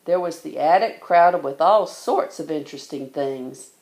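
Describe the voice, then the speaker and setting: A woman narrating a children's storybook in an expressive read-aloud style. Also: sits low, unhurried, echoey room. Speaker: bedtime story reader.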